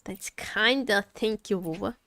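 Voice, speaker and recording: A woman's voice: mid-range voice, unhurried, pushed and bright. A woman live-streaming a game, chatting casually and expressively, often repeating her phrases. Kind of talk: casual livestream chat while gaming.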